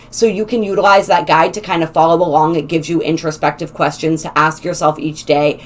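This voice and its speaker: A woman hosting a spiritual channel, her voice slightly raspy, talking in a gentle, flowing way with some halting restarts. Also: low-pitched. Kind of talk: casual spoken explainer, partly read aloud.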